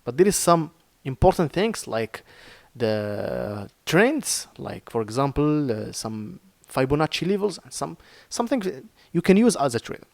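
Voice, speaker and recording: A man from Morocco speaks in a Moroccan-accented, mid-pitched voice, teaching trading in an earnest, halting way. He searches for words and restarts often, filling gaps with "uh". The recording is close and dry, with no room sound. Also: very animated, creaky, dry recording. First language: Arabic. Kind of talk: informal screen-share lesson.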